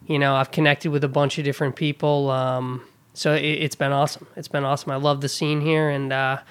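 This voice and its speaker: A man's voice: medium pitch, flat delivery, a little fast, clean tone. A man hosting a podcast interview in a hotel room, enthusiastic and full of fillers. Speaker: podcast host.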